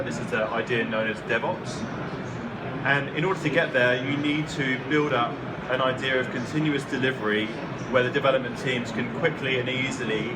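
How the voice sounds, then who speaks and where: An Australian man giving a conference talk, explaining in a plain way with frequent ums. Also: mid-range voice. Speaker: conference speaker. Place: conference hall. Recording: distant mic, loud background hum.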